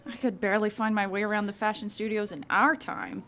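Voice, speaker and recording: A woman's voice: medium pitch, steady tone, a little fast, a bit bright, a little hiss or hum, close to an everyday voice. A young woman playing an eager, caring female college student in an audio drama. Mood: earnest. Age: young adult. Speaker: student actor.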